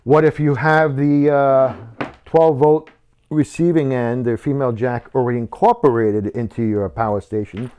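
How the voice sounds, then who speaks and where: A man's voice: medium pitch, fairly even intonation, moderate pace, warm tone, smooth. A man in his fifties hosting a casual how-to tutorial, explaining step by step in a conversational way. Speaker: host of a how-to video channel. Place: wooden home workshop, indoors.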